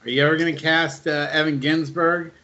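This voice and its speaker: A man's voice: a little high, level tone, a little fast, bright, forceful tone. A man hosting a podcast interview in a casual, conversational way. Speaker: podcast host. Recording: dull lo-fi call audio.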